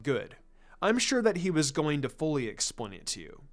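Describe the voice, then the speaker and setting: A man voicing a serious adult ninja mentor in a fan fiction reading, calm, stern and deliberate as he explains. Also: a little high, faint music behind.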